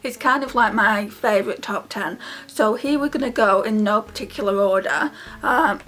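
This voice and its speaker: A British woman with a fairly high voice chats casually to her viewers in a beauty vlog. Her delivery rambles in an informal, direct way. Faint music plays behind her. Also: normal speed, fairly bright tone, low-quality recording. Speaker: beauty vlogger. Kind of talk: casual chatty makeup vlog.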